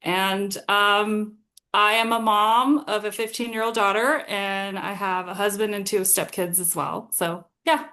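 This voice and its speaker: Interview guest: woman, forties, General American accent, from Singapore, relaxed reflective delivery, middle pitch. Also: a little slow. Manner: warm, reflective, laughs often, some hesitations. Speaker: guest, consultancy founder. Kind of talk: conversational interview.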